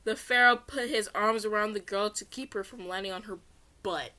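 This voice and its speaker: A woman's voice: medium pitch, normal speed, sharp tone. A woman reading a story aloud as an amateur, giggly, often stumbling over words and correcting herself. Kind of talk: read-along narration with character voices.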